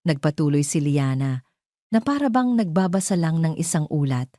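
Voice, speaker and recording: A woman's voice: low-pitched, very animated, normal speed, mellow, no room sound, studio quality. A woman with a Filipino accent reading a scripted, dramatic story as an audio drama narrator.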